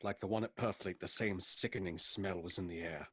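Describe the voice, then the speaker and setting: An actor playing a sober narrator of a gothic tale in a scripted radio play. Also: male voice, sits low, fairly even intonation, fairly quick, fairly bright tone, slight background noise. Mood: grave.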